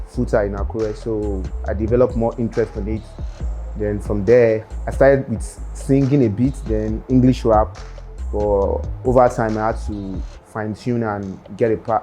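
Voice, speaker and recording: Mellow low-voiced Nigerian man. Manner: unhurried, with frequent fillers and restarts. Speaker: rapper interviewee. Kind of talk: casual interview monologue.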